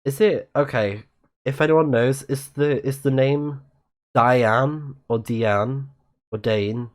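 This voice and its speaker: A man's voice: medium pitch, slow. A man with a slightly scratchy voice, chatting casually as he streams a game and reads its text aloud. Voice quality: a bit scratchy. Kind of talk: casual live let's play, reading game texts aloud.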